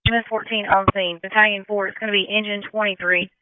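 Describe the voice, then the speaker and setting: A woman's voice: mid-range voice, level tone, fast, clean tone, no room sound. A woman working as an emergency dispatcher, reading back information in a businesslike way over two-way radio. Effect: two-way radio transmission. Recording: tinny telephone-like radio dispatch channel.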